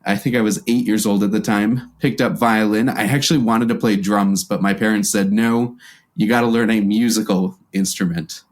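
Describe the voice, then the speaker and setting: A man's voice: lowish voice, steady tone, normal speed. An American man speaking as a podcast guest, casually recounting his background in an interview. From United States. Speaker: podcast guest, violinist and music teacher.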